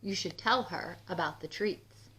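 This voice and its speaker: A woman's voice: fairly low pitch, a little slow, bright, forceful tone, poor audio. A woman voicing an eager, affectionate, cajoling husband in a children's storybook, with jolly emphasis. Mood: coaxing. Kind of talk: storybook character voice. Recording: music under the voice.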